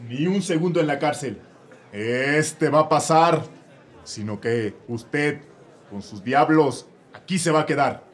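A man's voice: fairly high pitch, slow pace. Man playing a stern, dim judge. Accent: Mexico City. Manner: curt interrogating questions. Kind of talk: comedic radio play acting.

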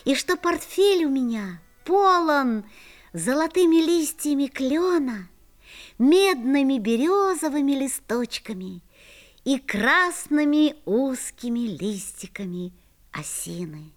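A woman's voice: sits high, animated, takes it slowly. A woman playing a young boy narrator. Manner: gentle storytelling narration. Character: young boy telling the story. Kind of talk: scripted audio play narration.

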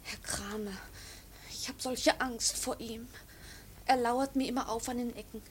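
An actress playing a timid, agitated young woman in a radio drama, speaking earnestly with a breathy, hesitant manner. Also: fairly high pitch, steady tone, a little slow, slight background noise, close to an everyday voice. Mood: anxious. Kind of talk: German radio drama acting.

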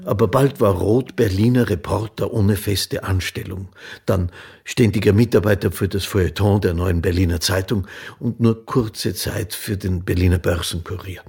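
An actor playing a sober witness who recounts a life story factually in a radio play. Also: male voice, deep voice, steady tone, normal speed, gravelly, darkish tone, breathy edge, heavily acted. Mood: sober, recounting.

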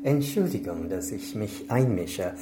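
Suave, menacing crime boss, swooping pitch. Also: male voice, animated, unhurried, mellow, a little hiss or hum. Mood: ceremonious, genial. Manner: genial but insinuating and commanding. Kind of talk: German radio crime drama acting.

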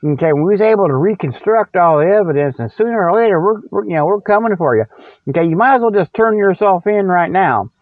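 Radio host, male, middle-aged: folksy, repetitive comic rambler, somewhat high-pitched, narrow telephone-grade sound with little room. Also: expressive, fast, no room sound. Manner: folksy, repetitive, often checks with "okay". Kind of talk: rambling comedic monologue with spoof ads. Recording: muffled, phone-like radio sound.

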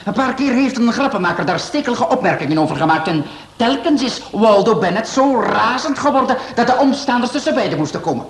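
An actor playing a chatty, gossipy theatre manager in a radio drama. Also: male voice, extremely high pitch, lively intonation, brisk. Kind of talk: Dutch radio drama acting. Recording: roomy sound with faint hiss.

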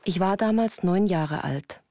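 An actress playing a grown woman who recounts a harsh childhood in measured, composed radio play narration. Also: fairly low pitch, mellow. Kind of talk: literary first-person radio play narration.

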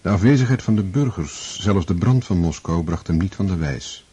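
A man narrating a historical radio play in a sober, measured, literary manner with a dark, husky voice. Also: sits low, animated, full character voice. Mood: sober, reflective.

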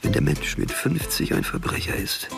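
An actor playing a world-weary, cynical crook in a sardonic, gravelly inner monologue for a radio crime drama. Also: male voice, normal speed, over music, close to an everyday voice. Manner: sardonic, brooding. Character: world-weary, cynical small-time male crook musing inwardly.

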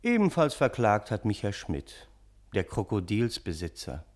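An actor playing a wry, long-suffering, courteous gentleman who narrates a radio play. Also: fairly low pitch, wildly swooping pitch, a little slow. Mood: wry, measured. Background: balalaika music.

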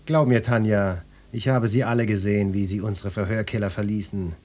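A pompous police detective played by a man in a satirical radio drama. His voice is low and husky, and he interrogates with pedantic, portentous self-importance. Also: fairly even intonation, fairly quick, a little mellow, natural voice. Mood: grave, self-important.

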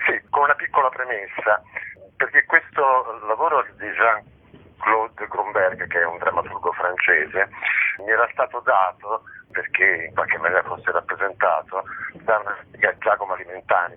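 A very low-voiced man speaks as a guest in a radio interview. His voice is rough and husky, his talk halting and reflective. He comes through a thin, band-limited telephone line. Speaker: interview guest, theatre collaborator. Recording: muffled phone line on radio.

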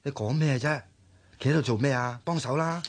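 A man acting in a radio drama as an exasperated young renovation worker with a soft heart, blunt and nagging in colloquial Hong Kong Cantonese. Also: mid-range voice, fairly quick, natural voice. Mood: grumbling.

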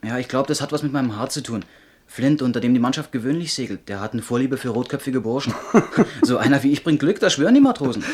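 A cheeky young ship's boy played by a man with a medium-pitched voice. He chatters excitedly and boastfully in seventies radio-drama style, full of eagerness for pirate life. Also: fast, close to an everyday voice. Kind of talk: 1970s German radio drama acting.

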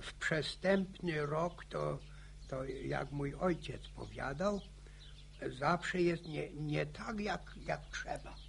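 An old village farmer in a radio serial. The voice is gravelly and fairly high, with slow delivery. He rambles warmly and grumbles, stumbling over and repeating words. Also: slight background noise, full character voice. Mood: rambling, folksy. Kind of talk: radio drama acting.

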